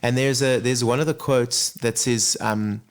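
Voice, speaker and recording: A man speaks at a mid pitch, earnest and reflective. He talks as an interview guest and often adds "you know" as he thinks aloud. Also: fairly even intonation, unhurried. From Zimbabwe. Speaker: guest singer. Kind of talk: casual interview chat.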